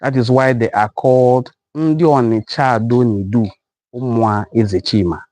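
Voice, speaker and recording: A man with a Nigerian accent, a native Igbo speaker, hosting a live-stream talk and deliberately repeating his appeals to viewers. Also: mid-range voice, darkish tone, no room sound. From western Igbo land, Nigeria. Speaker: host of a cultural forum live broadcast.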